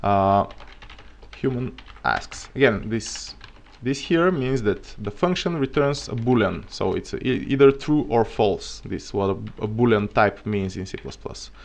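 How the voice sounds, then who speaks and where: A man with a Bulgarian accent, his first language Bulgarian, talks through a live coding lesson at his desk mic. His voice sits fairly low and has a gravelly, creaky edge. He thinks aloud as he goes and keeps the tone loose and joking. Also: animated, measured pace, a little hiss or hum. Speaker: programming streamer.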